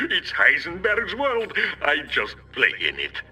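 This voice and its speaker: A gloating Soviet villain premier with a Russian accent, high and piercingly bright over a radio link. He is grandiose and mock-polite, savoring his menace in broad video game style, with music behind him. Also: huge pitch swings, takes it slowly, breathy edge, exaggerated voice, poor audio. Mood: smug, gleeful.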